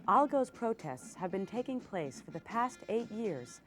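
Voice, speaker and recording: A woman narrating a scripted news magazine segment in a measured, even way, with faint hiss behind her. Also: mid-range voice, faint music behind. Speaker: host narrator.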